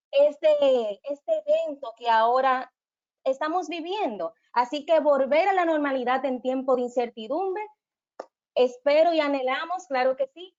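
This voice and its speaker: A woman moderating a webinar, giving an earnest, formal welcome. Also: high voice. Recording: dull lo-fi webinar audio.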